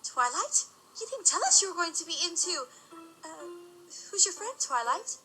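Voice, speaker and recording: A woman playing a genteel, polite mother and hostess in an audio drama. Her voice is high and bright with lively pitch movement, over faint music. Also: moderate pace, heavily acted, rough recording. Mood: welcoming.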